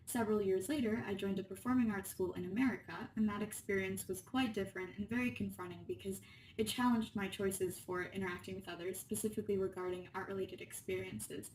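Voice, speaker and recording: A woman's voice: medium pitch, a little mellow. A woman from Spain reading a scripted personal narration as a student presenter. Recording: roomy, distant mic, faint room noise.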